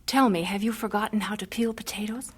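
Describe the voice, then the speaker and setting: A woman acting as a missionary wife in a vintage radio play. The voice is of average pitch for a female, with an affectionate but strong-willed character and expressive old-time dramatic delivery. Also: a little fast, husky, natural voice. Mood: joyful, affectionate.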